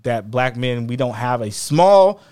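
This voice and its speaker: A man's voice: unhurried, smooth. Talkative man co-hosting a podcast. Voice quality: fairly high-pitched voice. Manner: animated, rambling with many restarts. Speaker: podcast co-host. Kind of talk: casual podcast chat.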